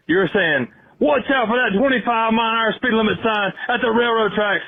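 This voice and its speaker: A man leaving a casual, excited fan voicemail, telling a story over a tinny phone line. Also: high-pitched, a little fast, a little hiss or hum.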